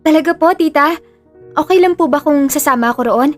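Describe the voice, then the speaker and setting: A woman's voice: high voice, brisk, faint music behind, lightly acted. A woman voicing a meek, polite young woman feigning innocence in a Filipino audio drama. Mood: polite, eager. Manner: deferential, polite.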